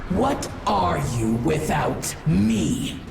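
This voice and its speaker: A menacing war goddess from a video game, speaks with seductive, commanding weight. Her delivery is very slow and deeply gravelly, echoing beneath loud music. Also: big pitch swings, background noise, full character voice. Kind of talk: video game villain voice acting.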